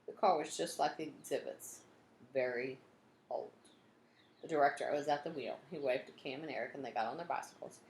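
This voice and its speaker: Low-voiced woman reading a storybook, roomy echo. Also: fairly quick, vocal fry. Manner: expressive, acts out dialogue and sound effects. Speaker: teacher reading a children's book aloud. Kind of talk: animated storybook read-aloud with asides. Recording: roomy echo, some background noise.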